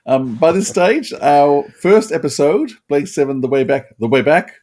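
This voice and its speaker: A man's voice: on the high side, animated, normal speed, a little mellow. A man co-hosting a podcast, chatting casually and with enthusiasm. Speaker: podcast co-host. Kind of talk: casual chat.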